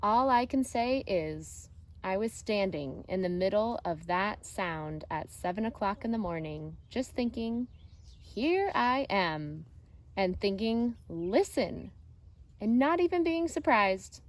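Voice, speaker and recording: A woman teacher reading a picture book aloud to children in a deliberate, expressive way. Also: mid-range voice. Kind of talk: storybook read-aloud narration for children. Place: outdoors.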